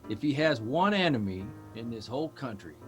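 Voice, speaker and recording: A man's voice: medium pitch, sing-song, fairly quick, soft background music, close to an everyday voice. A man playing a plain-spoken, reflective newspaper columnist in an understated, wry audio drama performance.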